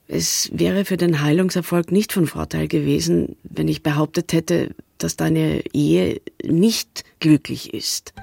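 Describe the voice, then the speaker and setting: An actress playing a hesitant confidante in a radio drama, confessing in deliberate, halting, repetitive phrases. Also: female voice, low voice, creaky, darkish tone, husky, no echo, studio quality, heavily acted. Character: slow, hesitant confessor admitting a comforting lie. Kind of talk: modern radio drama acting.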